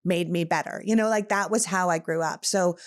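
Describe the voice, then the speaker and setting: Passionate Canadian woman podcast host. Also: on the low side. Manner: passionate, rapid, emphatic. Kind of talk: casual political talk.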